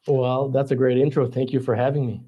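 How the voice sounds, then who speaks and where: A man in his forties with a French-Canadian accent, a podcast guest telling his story in a relaxed, casual way with many ums and pauses. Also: medium pitch, highs cut off. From Ottawa, Canada. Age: 40s.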